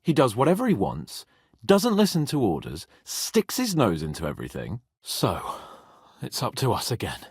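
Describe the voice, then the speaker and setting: An actor playing a brash, petulant young medieval nobleman in a video game, with a husky voice and a pompous, sardonic manner. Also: mid-range voice, huge pitch swings, moderate pace, a little mellow, no echo, clean studio sound, full character voice. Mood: exasperated. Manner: pompous, sardonic, swearing freely.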